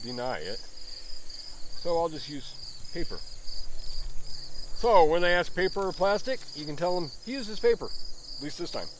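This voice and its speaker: A man's voice: on the high side, expressive, a little slow, pauses often, a little hiss or hum, rough recording. A man in his fifties giving a rambling, self-deprecating running commentary as he spray paints. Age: 50s. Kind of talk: running commentary while working.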